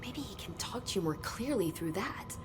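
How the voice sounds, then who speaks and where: An actress playing an earnest, knowledgeable government researcher in a video game, explaining in a measured, understated way. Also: female voice, medium pitch, lively intonation, over music, natural voice. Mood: helpful, informative. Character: earnest, knowledgeable female government agency researcher, briefing her director. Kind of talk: video game cutscene acting.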